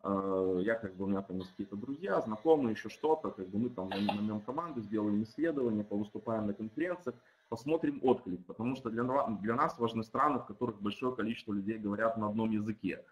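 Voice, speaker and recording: A man's voice: lowish voice, fast talker, rough recording. A man who is a non-native speaker of Russian, a startup team lead, explaining his product casually over a video call with many fillers and restarts. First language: not Russian, though Russian-speaking.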